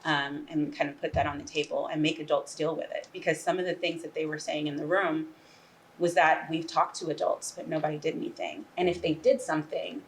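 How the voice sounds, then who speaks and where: A woman playwright giving thoughtful, earnest interview answers at a measured pace. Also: low voice, level tone, a little hiss or hum, rough recording.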